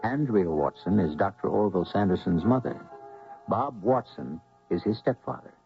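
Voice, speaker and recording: A man hosting a radio mystery drama, narrating in a theatrical, deliberate way. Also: lowish voice, animated, creaky. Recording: muffled old radio broadcast. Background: music.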